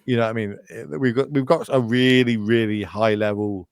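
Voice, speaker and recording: A man in his late thirties talks at length as a podcast guest, wandering from point to point with plenty of fillers and restarts. His voice is smooth and resonant, and the sound is dry and close. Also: medium pitch, fairly even intonation, a little fast, dry recording. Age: 30s. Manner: rambling, goes off on tangents, many fillers and restarts. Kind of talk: casual chat.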